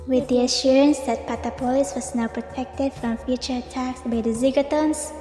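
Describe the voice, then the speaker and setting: A woman's voice: high voice, fairly even intonation, moderate pace, dark tone, soft background music, lightly acted. A woman voicing a proud, resolute, long-lived priestess in a cartoon comic dub, with formal and stately speech. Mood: composed, resolute. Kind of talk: animated comic dub acting.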